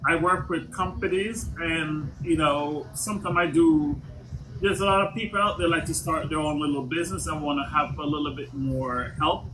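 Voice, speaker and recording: Man from Jamaica, livestream host, fairly high voice, stop-start repeats, hissy noisy audio. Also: steady tone, sharp tone. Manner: halting, repeats words, restarts often. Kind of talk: casual live chat.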